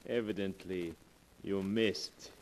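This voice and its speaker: An actor in a 1940s radio drama playing the devil, a suave mocking man who purrs his lines slowly and chuckles as he gloats. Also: fairly even intonation, low-quality recording. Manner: slow, purring, gloating, with low chuckles.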